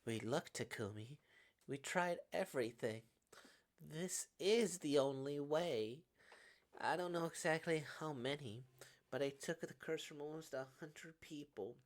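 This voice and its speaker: A woman performs a young cursed heroine from a visual novel, tragic and set on giving up her life. Her delivery is slow and strained, pleading with whoever listens. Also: low voice. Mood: solemn, resigned. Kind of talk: dramatic visual novel read-aloud.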